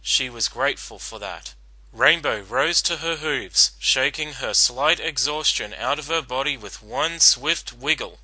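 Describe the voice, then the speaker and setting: A man's voice: medium pitch, measured pace, pushed and bright, no room sound, rough recording. A man narrating a written story as a dramatic reading.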